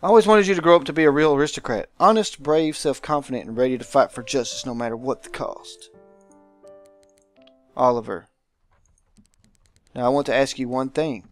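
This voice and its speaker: A man voicing a visual novel's aristocratic guardian, pompous, dramatic and deliberate, with menacing affection. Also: mid-range voice, soft background music, natural voice. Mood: proud, commanding.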